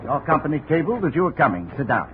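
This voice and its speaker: An actor playing a polite, formal, inquisitive chief constable in a 1950s radio drama. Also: male voice, on the high side, very animated, fast. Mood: courteous. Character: courteous, inquisitive male chief constable in Bermuda. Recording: tinny vintage radio, faint noise.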